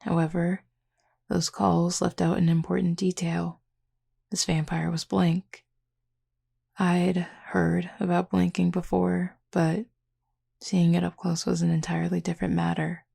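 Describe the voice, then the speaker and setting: A flirty werewolf woman, played by a voice actress in an intimate audio roleplay. Her low voice is thick with gravelly fry and husky at the edges. She talks in an unhurried, nonchalant way, keeping her intonation very even. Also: full character voice. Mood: calm, reflective. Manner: casual, unhurried, teasing, nonchalant. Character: flirty laid-back female werewolf, tattooed, scarred, protective.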